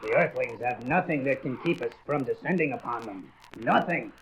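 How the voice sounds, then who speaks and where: An actor playing a cold, imperious alien invader commander with open contempt, in a comic book audio drama. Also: male voice, medium pitch, expressive, normal speed, a bit bright. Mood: scornful. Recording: noisy, dull old record with clicks.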